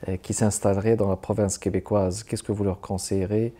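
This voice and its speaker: Formal, mellow-voiced male TV host. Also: on the low side, flat delivery. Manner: formal, measured presenter delivery. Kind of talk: arts interview hosting. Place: TV studio.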